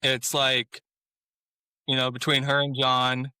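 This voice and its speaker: Man, author guest, very bright tone, laid-back chuckling, dry sound. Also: mid-range voice, monotone, takes it slowly, clear, resonant tone, no echo. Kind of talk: casual interview chat.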